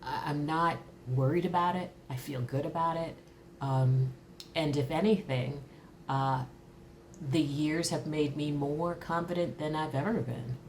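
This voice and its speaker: A woman in her early fifties reflecting candidly on her own life in a thoughtful, halting way, as in a personal interview. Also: deep voice, fairly even intonation, a little hiss or hum. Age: early 50s. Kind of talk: reflective personal talk to camera.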